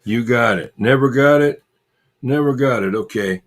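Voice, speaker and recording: A man hosting a casual livestream, chatting with viewers in a halting, repetitive way and muttering asides to himself. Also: mid-range voice. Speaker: livestream host.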